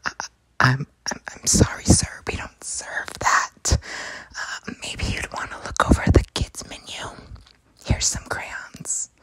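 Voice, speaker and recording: A woman playing a timid, flustered, polite young waitress, hesitant and breathy, in a comedy ASMR roleplay. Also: fairly bright tone, dry recording, close to an everyday voice, poor audio. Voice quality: breathy whisper. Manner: hesitant, stammering, slow.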